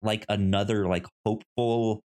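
Halting, stuttering man chatting casually. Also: lowish voice, fairly even intonation, darkish tone. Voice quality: frequent stutter, repeating sounds and words. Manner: halting, informal, rambling. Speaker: podcast co-host. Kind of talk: casual conversational film discussion.

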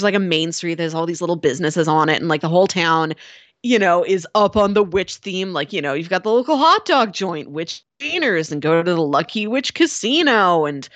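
A woman's voice: lowish voice, animated, normal speed, a bit bright, no echo. A woman co-hosting a podcast in casual chat, talking informally and descriptively with wry, playful asides. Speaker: podcast co-host discussing a film.

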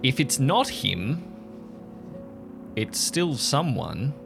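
A man's voice: medium pitch, sing-song, very slow, gravelly, over music, voice pushed up, heavily acted. Man voicing a wary, practical scout woman.

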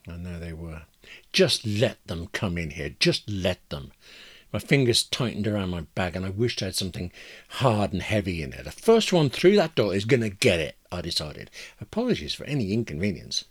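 A man's voice: lowish voice, fairly bright tone, exaggerated voice. A man voicing a bitter, anxious woman in a dramatic first-person story, with a wry, agitated, self-interrupting manner. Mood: panicky, resentful, then forlorn, wry. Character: bitter, anxious woman stewing after losing her job.

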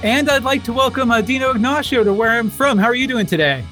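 A man's voice: very high-pitched, fast talker, sharp tone, soft background music. A man hosting a podcast in a casual conversational interview style.

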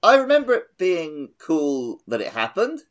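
A man's voice: on the high side, huge pitch swings, unhurried. A man co-hosting a podcast in casual gaming chat, joking and laughing easily as he talks. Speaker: podcast co-host.

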